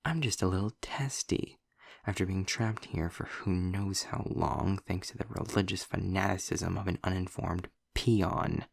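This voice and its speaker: A man playing a sarcastic, articulate demon in an audio roleplay, with a gravelly, breathy voice and wry, formal delivery. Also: low-pitched, dry recording, studio quality, heavily acted. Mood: testy, disdainful. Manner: wry, formal wording, deliberate pauses. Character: sarcastic, snarky male demon, articulate and testy. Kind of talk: scripted audio roleplay monologue addressed to the listener.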